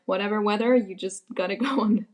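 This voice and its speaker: A woman's voice: medium pitch, fairly quick. Young woman, laughing easily. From Germany. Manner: relaxed, laughs often, many fillers like "like" and "yeah". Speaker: guest. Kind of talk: casual interview answers.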